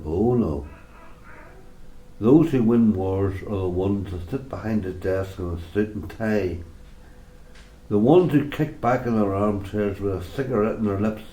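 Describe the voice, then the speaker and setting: A man playing an elderly war veteran grandfather in a radio drama, sombre and bitter, speaking in a grave, reflective, deliberate way. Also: deep voice, mellow, low-quality recording. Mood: bitter, mournful. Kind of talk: amateur radio drama monologue.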